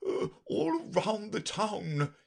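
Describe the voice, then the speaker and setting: A quivering, high-voiced old king. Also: wildly swooping pitch, a little slow, breathy edge, exaggerated voice. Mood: nervous. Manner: quivering, muttering, hesitant. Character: nervous, trembling, flustered old king. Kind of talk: storybook character reading.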